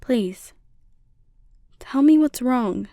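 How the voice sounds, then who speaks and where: A woman voicing a gentle, caring slime monster girl with playful teasing, in a soft, intimate ASMR roleplay delivery. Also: fairly high pitch, lively intonation, extremely slow, creaky, no echo, studio quality, exaggerated voice. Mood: soothing concern.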